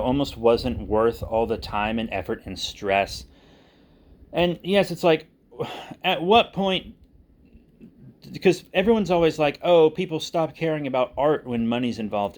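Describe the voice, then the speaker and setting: A man speaking casually and unscripted as a channel host, candid and self-deprecating, with halting delivery. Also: mid-range voice. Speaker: audio drama creator and channel host. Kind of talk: casual unscripted vlog talk.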